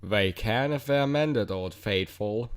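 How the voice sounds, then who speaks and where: A man voicing a kindly, wise older railway owner in a storybook, speaking low and slowly. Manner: low, slow, gravelly.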